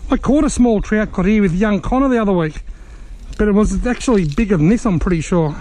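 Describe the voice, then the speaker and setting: An Australian man giving chatty, excitable commentary while fishing on a riverbank, filmed on an action camera and talking to the fish he catches. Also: high-pitched, a little fast. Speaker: fishing vlogger. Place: outdoors on a riverbank.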